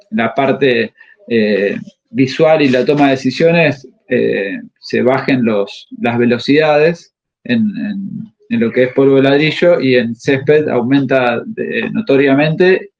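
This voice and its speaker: Halting coach, muffled. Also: male voice, medium pitch, vocal fry, a little mellow. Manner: halting, pauses often to find words. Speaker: tennis coach. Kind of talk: interview answers. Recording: slightly muffled audio.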